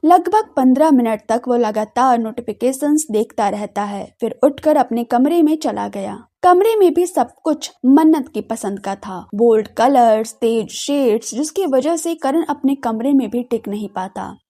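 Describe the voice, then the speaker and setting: A woman's voice: fairly high pitch, animated, measured pace, few pauses, warm tone. A woman narrating a scripted audio drama story as a radio storyteller.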